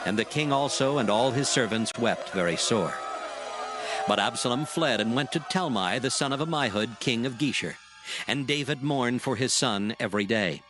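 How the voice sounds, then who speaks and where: A man's voice: mid-range voice, normal speed, music in the background, poor audio. A man narrating scripture from a script in a solemn, formal manner.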